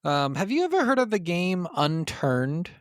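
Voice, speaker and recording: A man's voice: sits high, big pitch swings, normal speed, no echo, clean studio sound. A man co-hosting a podcast, chatting casually about games in an informal way and swearing freely. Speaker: podcast co-host. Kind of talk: casual gaming chat.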